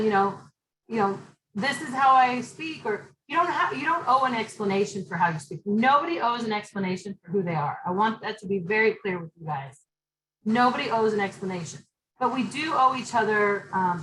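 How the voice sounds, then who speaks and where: Loud, direct woman lecturing in echoey room. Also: a little high, a little hiss or hum. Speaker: college instructor. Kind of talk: online class lecture.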